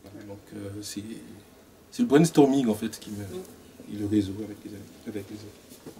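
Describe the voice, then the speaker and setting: Low, mellow-voiced man from Gabon, halting self-introduction, recorded from afar with faint noise. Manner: hesitant, many fillers and restarts. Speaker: participant introducing himself. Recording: distant mic, faint room noise.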